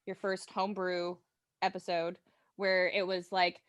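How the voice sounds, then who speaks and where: A woman's voice: lowish voice, completely flat delivery, a little slow, dry recording. Casual woman chatting, dry close sound. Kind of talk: casual podcast chat.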